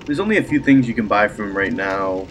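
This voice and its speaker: A man's voice: on the low side, fast talker, soft background music. A man with a stuffy cold voice giving chatty, rambling commentary while he plays a video game. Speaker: let's play host. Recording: steady background noise.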